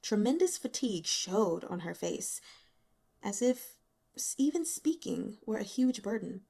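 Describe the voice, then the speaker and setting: A woman reading a novel aloud as expressive scripted narration. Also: mid-range voice, measured pace, vocal fry, breathy edge. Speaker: audiobook reader.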